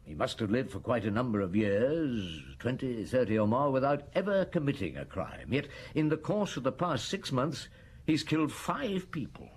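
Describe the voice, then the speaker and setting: An actor playing a patient, methodical middle-aged police inspector in a radio drama, reasoning aloud. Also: male voice, medium pitch, sing-song, moderate pace, breathy edge. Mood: deliberate. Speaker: detective.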